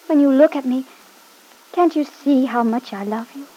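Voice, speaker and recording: An actress playing a loving, patient young Italian wife in a classic radio drama, speaking in a tender, coaxing way. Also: female voice, high voice, normal speed, dark tone, highs cut off. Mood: earnest, pleading. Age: young adult.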